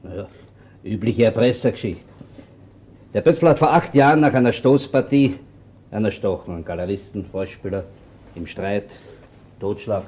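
An actor playing a blunt, sceptical police detective in a crime radio play, dry and matter-of-fact, with an Austrian accent. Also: male voice, on the low side, expressive, normal speed, pauses often, mellow, muffled recording, close to an everyday voice.

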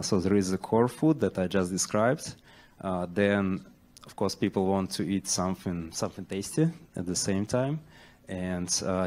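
A man's voice: low-pitched, darkish tone. A middle-aged man giving a conference talk in a lecture hall with a handheld mic, hesitant with many filler sounds and restarts. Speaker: conference presenter.

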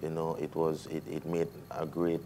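A man's voice: very deep voice, moderate pace, a little hiss or hum. A man in his thirties from the US Virgin Islands with a Jamaican-tinged Caribbean accent, chatting loosely in a TV studio interview with many fillers. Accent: Caribbean English, Jamaican-influenced. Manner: relaxed, hesitant, many fillers. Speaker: reggae artist guest. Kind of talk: casual interview chat. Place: TV studio set.

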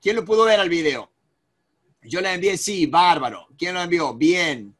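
Argentine male coach in his 40s, high bright voice, rambling webcam presenter. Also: animated, moderate pace. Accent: Argentine Spanish. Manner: rambling, many restarts and fillers. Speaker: negotiation coach. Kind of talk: live webinar presentation. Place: home office with bookshelves. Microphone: video call webcam.

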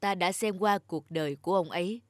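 A woman voicing a portly male angel in a cartoon, speaking in a calm, kindly way. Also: on the low side, a little fast, no echo, studio quality, natural voice. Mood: gentle. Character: portly male angel, gentle and soft-hearted. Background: music.

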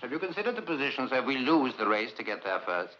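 A man's voice: mid-range voice, sing-song, fast, pushed and bright. A man playing a hard-nosed, insistent defence man in clipped 1950s film drama dialogue. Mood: insistent, grim. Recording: muffled old film soundtrack, faint noise.

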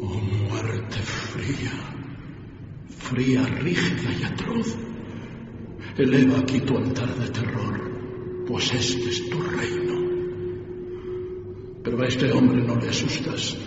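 An actor playing a frightened, repentant old miser in a radio drama, imploring and dramatic, with a gravelly voice. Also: male voice, medium pitch, very animated, slow pace, long pauses, very noisy. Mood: solemn. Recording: dull sound under clear music.

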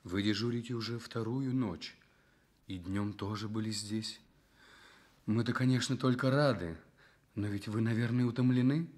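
An actor in a Soviet radio drama playing a lonely, gentle, courteous journalist in a hospital bed, quietly in love. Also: male voice, fairly low pitch, steady tone, normal speed, lightly acted. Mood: tender concern.

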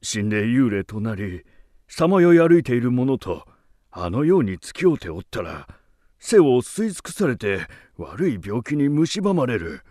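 A man's voice: medium pitch, big pitch swings, measured pace, mellow, dry recording, clean studio sound. An actor playing a wary, inquisitive old man in a radio drama, with solemn, archaic elderly speech. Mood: grave warning. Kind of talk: radio drama acting of a ghost tale.

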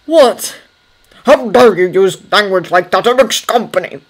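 A woman's voice: mid-range voice, animated, unhurried. A woman narrator playing a stern senior male servant in an audiobook, indignant and scolding. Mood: outraged.

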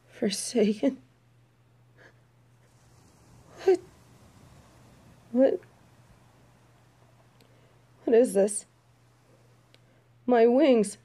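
A woman's voice: high voice, mellow, exaggerated voice. A woman playing a snobby, prickly fallen angel in an audio roleplay, with a haughty, theatrical manner. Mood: distraught, panicked. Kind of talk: audio roleplay acting addressed to the listener.

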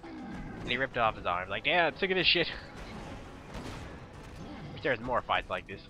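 A man's voice: medium pitch, sing-song, moderate pace. A man with a nasal, congested voice rambling and swearing while he commentates his game playthrough. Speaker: gamer commentator. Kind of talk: casual let's play commentary.

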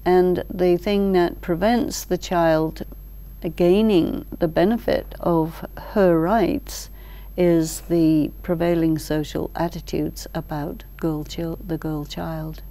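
An older woman explaining ideas thoughtfully as an interview guest, in short measured phrases with deliberate pauses. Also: on the low side, steady tone, mellow. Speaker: expert guest, teacher. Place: large library hall, indoors. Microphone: lapel mic.

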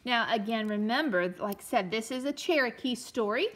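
A woman reading a storybook aloud to young children as a teacher, expressively, pausing to explain and ask questions. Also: a little high, lively intonation. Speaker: elementary teacher reading a picture book aloud.

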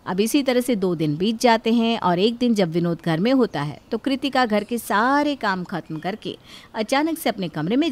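A woman narrator telling a cartoon story in scripted storytelling style. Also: fairly high pitch.

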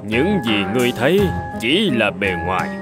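A man's voice: medium pitch, sing-song, moderate pace, over music, lightly acted. A man voicing a stern, commanding saint who judges souls in a fairy-tale cartoon, with an authoritative, reproving manner. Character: stern, commanding male saint judging souls at heaven's gate.

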